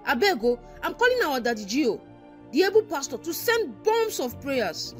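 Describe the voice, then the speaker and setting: A very high-voiced housewife, fretful and sure that enemies stalk her family, as a woman acts her out. She pleads anxiously, and her voice swoops up and down as she insists. Faint music hums beneath the comedy skit. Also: big pitch swings, moderate pace. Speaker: comic actor.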